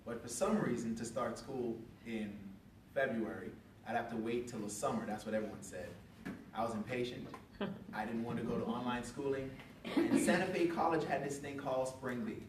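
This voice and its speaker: A man's voice: mid-range voice, brisk. A young man from Jamaica, a student veteran telling his story on a panel. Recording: echoey room, far from mic, noisy.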